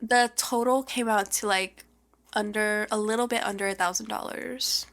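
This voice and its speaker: A young woman co-hosting a podcast in a casual, gossipy chat, animated and slangy, swearing freely. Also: medium pitch, normal speed. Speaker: podcast co-host.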